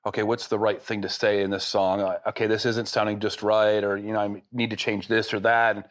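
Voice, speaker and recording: A man's voice: on the low side, little pitch movement, a little fast. A man hosting a podcast interview, talking in a loose, rambling way full of fillers. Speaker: podcast host. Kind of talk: casual interview chat.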